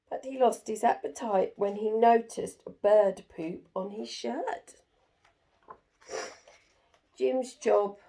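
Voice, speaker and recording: A woman's voice: mid-range voice, gravelly, mellow. A woman storyteller reading a children's picture book aloud with expression.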